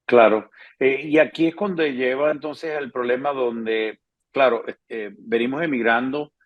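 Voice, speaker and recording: A man's voice: medium pitch, measured pace. A man hosting an interview, asking long, winding questions with many hesitations and fillers. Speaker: interview host. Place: South Florida. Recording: slightly dull lo-fi sound.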